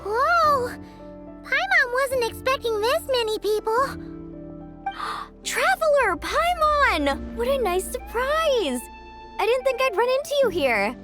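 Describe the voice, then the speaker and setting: Perky young adventurer girl, very high voice, swooping expressive delivery, video game style, music underneath. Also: slow pace, heavily acted. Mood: surprised, excited. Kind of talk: animated video game voice acting. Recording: music behind the dialogue.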